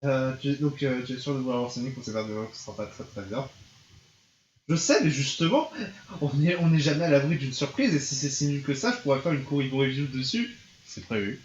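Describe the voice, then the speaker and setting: A man's voice: mid-range voice, lively intonation, brisk, a little hiss or hum. A man streaming a visual novel, reading the text aloud and mixing in casual reactions, sometimes stumbling over words. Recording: roomy, dull sound with music behind.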